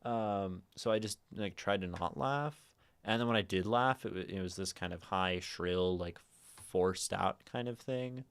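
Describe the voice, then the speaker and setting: Low, gravelly-voiced man musing softly. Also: unhurried. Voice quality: naturally low voice. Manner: soft, meandering, lots of fillers; prefers talking quietly and a little higher. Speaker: livestream host. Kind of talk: casual livestream chat.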